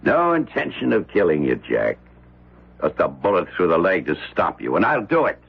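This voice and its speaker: An American actor playing a domineering, ruthless rich father in a radio drama, with a gruff, barking and bossy delivery. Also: sits low, huge pitch swings, moderate pace, heavily acted. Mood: threatening, hard. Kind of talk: old-time radio drama acting. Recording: muffled vintage radio broadcast recording.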